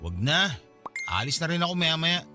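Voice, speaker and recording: A man's voice: a little high, big pitch swings, normal speed, sharp tone, faint music behind, full character voice. A man playing a defensive, resentful husband in a Tagalog radio drama, dismissive and argumentative. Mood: distracted, curt.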